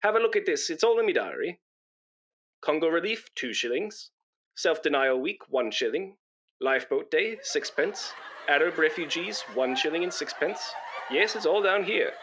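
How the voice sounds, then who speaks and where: Man acting pompous, boastful busybody, animated. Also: fairly high pitch, moderate pace. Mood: pompous, self-righteous. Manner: pompous, wheedling, self-important. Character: pompous, boastful, self-righteous male busybody blood donor. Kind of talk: comedy radio play acting.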